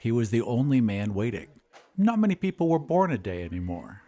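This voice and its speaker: A man hosting a podcast and narrating an audio drama in a measured, expressive way. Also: medium pitch. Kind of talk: scripted storytelling narration.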